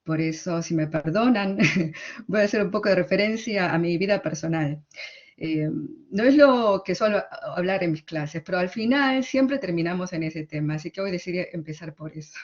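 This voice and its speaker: A middle-aged woman with an Argentine Spanish accent, a literary translator giving a conference talk in a conversational way, often closing her points with quick tag questions. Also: on the low side. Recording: slightly dull, lo-fi sound.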